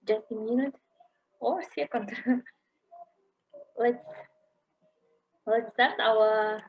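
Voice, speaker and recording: A woman's voice: on the high side, fairly even intonation, heavy background noise. Woman lecturer. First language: Kazakh. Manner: halting, searching for English words. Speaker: university lecturer. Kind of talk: online lecture in English. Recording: dull lo-fi sound.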